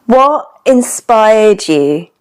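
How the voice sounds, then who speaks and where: A woman's voice: on the high side, animated, extremely slow, a little mellow, smooth. A woman casually interviewing her co-host on a podcast, asking questions in a chatty style.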